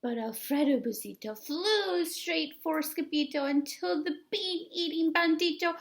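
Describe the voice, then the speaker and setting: A woman reading a children's story aloud with expressive, dramatic and emphatic narration. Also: high voice, unhurried, creaky. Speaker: storybook reader.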